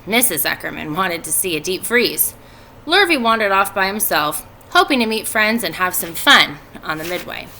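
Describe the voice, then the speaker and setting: A woman in her thirties, a teacher reading a storybook aloud to pupils, expressive and clearly phrased. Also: medium pitch, normal speed, a little hiss or hum, low-quality recording. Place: indoors at home by a fireplace.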